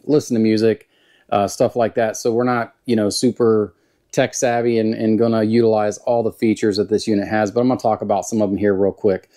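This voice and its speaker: A man with a Southern US accent giving a casual, plain-spoken product review in an unhurried, folksy way. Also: lowish voice, dark tone. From Southern United States. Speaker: YouTube gear reviewer.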